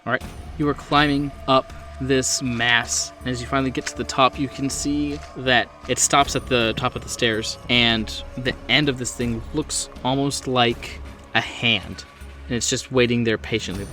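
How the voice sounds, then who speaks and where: A man's voice: mid-range voice, fairly even intonation, normal speed, sharp tone, recorded from a distance. Man game master over prominent music. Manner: descriptive, conversational narration. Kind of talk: narrating a horror tabletop roleplaying game. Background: background music.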